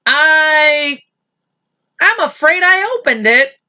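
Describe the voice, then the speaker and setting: A woman voicing an excitable, gushing mother in a children's story, emphatic and theatrical. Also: high-pitched, slow pace, highs cut off, pitched above the performer's own voice, exaggerated voice. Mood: uneasy.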